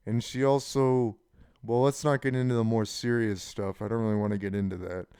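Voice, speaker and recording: Deep, smooth-voiced young man rambling casually. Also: fairly even intonation, fairly quick, warm tone, no room sound, studio quality. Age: 20s. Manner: casual, frank, swearing. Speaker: channel host. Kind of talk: rambling personal monologue.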